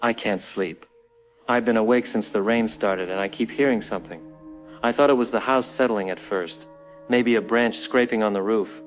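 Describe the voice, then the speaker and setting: A man's voice: on the low side, normal speed, soft background music, natural voice. A man playing a sleepless, spooked local resident calling a radio show in an audio drama, over dull lo-fi audio. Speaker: radio caller.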